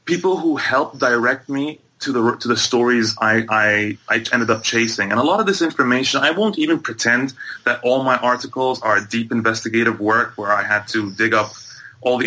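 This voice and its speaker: Male interview guest from Egypt, bright forceful voice, average pitch, earnest and explanatory. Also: low-quality recording. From Egypt and Bahrain. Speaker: guest, sports journalist and MMA commentator. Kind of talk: conversational interview answers.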